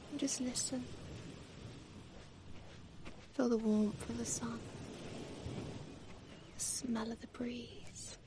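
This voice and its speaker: A woman performing an ASMR comfort roleplay as an affectionate mother figure, speaking tenderly in a soft, breathy whisper with lingering pauses. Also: a little high, little pitch movement, background noise.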